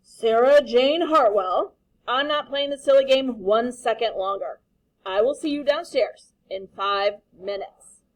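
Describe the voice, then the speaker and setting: A woman's voice: fairly high pitch, a little slow, close to an everyday voice, rough recording. A woman voicing an affectionate but firm father, cajoling his child in a storybook. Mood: exasperated.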